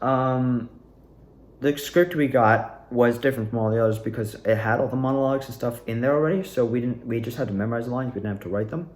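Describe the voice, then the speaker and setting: A man's voice: medium pitch, a little hiss or hum. A young adult man rambling casually and candidly about his own experiences, like a student actor giving a personal recap. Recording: roomy, echoing room sound.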